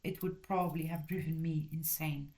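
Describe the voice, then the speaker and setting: Host, female, from Belgium, lowish voice, casual review delivery, rambling and self-correcting, blunt. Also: steady tone, normal speed, mellow. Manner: blunt and direct. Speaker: channel host reviewing a book.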